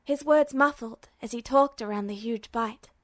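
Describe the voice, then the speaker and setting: Woman reading fan fiction, high, dry. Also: moderate pace, fairly bright tone, dry recording. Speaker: podfic reader. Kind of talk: scripted fiction narration.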